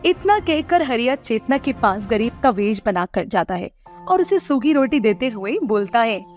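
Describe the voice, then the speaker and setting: A woman giving scripted narration of an animated moral story. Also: a little high, normal speed, faint music behind.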